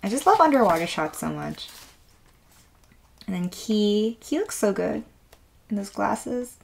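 A woman with a raspy voice today, chatting softly and gushing with lots of fillers as she unboxes an album at a table. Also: mid-range voice, sing-song, measured pace. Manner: soft, rambling, gushing with many fillers. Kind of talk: casual unboxing chat. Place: indoors at a wooden table.

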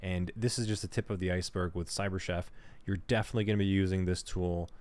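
A man with a somewhat low voice leads a screen-recorded cybersecurity tutorial. He talks at an easy, conversational pace and thinks aloud as he works through each step. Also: fast talker. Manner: relaxed, conversational, thinks aloud while working. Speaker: cybersecurity instructor.

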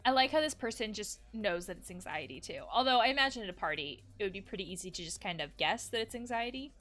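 A woman's voice: medium pitch, lively intonation, fast talker, fairly bright tone, faint music behind. A woman in her thirties, a therapist hosting a video game playthrough with commentary. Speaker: therapist reviewing a game, host. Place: indoor room.

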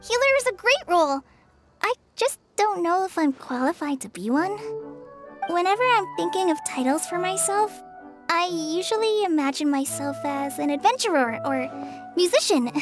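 A woman voicing a shy, self-doubting teenage girl in a video game, soft, youthful and hesitant. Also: extremely high pitch, lively intonation, moderate pace, music in the background. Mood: bashful, modest. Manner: hesitant, modest.

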